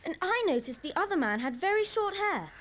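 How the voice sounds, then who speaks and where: Very high, girlish little sister. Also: big pitch swings, fairly quick, fairly bright tone, full character voice. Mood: eager. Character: young schoolgirl, alert, slightly timid little sister. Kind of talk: children's audio dramatisation acting. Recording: roomy, faintly noisy cassette dub.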